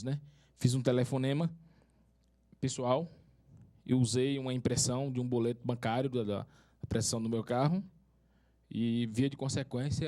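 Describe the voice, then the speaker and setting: A man's voice: medium pitch, dark tone. Formal man asking a question. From northern Minas Gerais, Brazil. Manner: formal, hesitant, many fillers. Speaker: city councillor asking a question. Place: conference hall.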